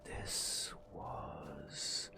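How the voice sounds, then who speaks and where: A man narrating ASMR in a whispery, breathy voice, with drawn-out, theatrical storyteller phrasing. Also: extremely low pitch. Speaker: gaming ASMR host.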